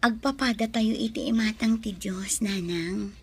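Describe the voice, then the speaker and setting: An actress playing a kind, well-mannered young bride-to-be in a radio drama, speaking gently and sincerely. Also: female voice, medium pitch, a little slow, creaky, slightly rough. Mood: polite, earnest.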